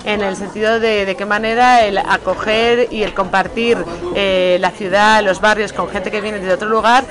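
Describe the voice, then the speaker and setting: A woman's voice: mid-range voice, steady tone, moderate pace, low-quality recording. A woman explaining a project for a podcast as its coordinator. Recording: music playing behind the voice.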